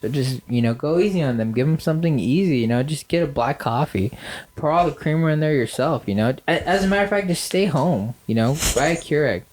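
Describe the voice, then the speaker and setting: A man's voice: fairly high pitch, animated, a little fast, a little mellow. A man with a nasal voice co-hosting a podcast, chatting casually with lots of fillers and dry sarcasm. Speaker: podcast co-host. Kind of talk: casual chat.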